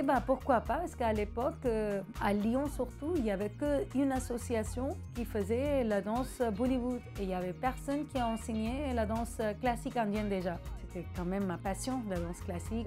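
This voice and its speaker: A woman's voice: a little high, darkish tone. Pakistani-accented woman over music. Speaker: dance teacher and dancer. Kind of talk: personal interview, conversational storytelling. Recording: music playing under the voice.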